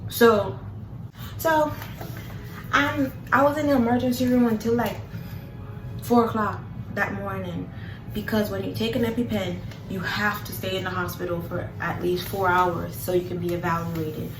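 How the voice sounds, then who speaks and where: A woman's voice: mid-range voice, fairly even intonation, moderate pace. Young woman w/ rambling to camera, lots of "like", roomy echo, mic kinda far. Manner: chatty, many "like"s and "literally"s. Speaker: vlogger. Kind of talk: casual storytelling to camera. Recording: echoey room from a distance with background noise.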